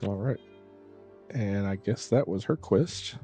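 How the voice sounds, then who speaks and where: A man live streaming, chatting casually in a halting, rambling way that trails off and circles back. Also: fairly low pitch, dark tone. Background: faint music.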